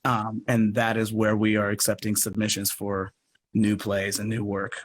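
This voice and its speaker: A man chatting casually as an interview guest, expressive and thinking aloud as he explains his work. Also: fairly low pitch, moderate pace, slight background noise. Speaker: radio play producer and guest. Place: remote live video chat.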